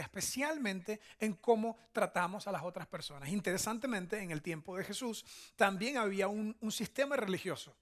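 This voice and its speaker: A man's voice: high voice, fairly bright tone. A man with a Venezuelan accent giving a conversational teaching sermon as a pastor, often checking in with short tag questions. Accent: Venezuelan Spanish. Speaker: church pastor, preacher.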